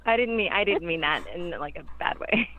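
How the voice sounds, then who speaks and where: A woman's voice: fairly low pitch, fairly quick, pushed and bright, rough recording. A woman guest, a voice actress, talking in an emphatic, earnest way. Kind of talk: casual chat.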